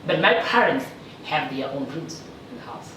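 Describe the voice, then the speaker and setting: A woman from South Africa, a teacher, chatting casually in an interview with a lively manner and frequent laughs. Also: deep voice, normal speed. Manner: lively, laughs often, animated when joking. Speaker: guest, teacher in China. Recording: echoey room, distant mic, faint noise.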